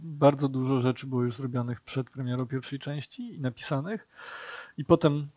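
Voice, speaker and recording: Brief: middle-aged male director as interview guest, mid-pitched, reflective and halting, dry close mic. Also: a little mellow, dry recording. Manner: thoughtful, many hesitations and repeated words. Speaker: guest, film director and executive producer. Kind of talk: casual interview talk. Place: small studio set, indoors.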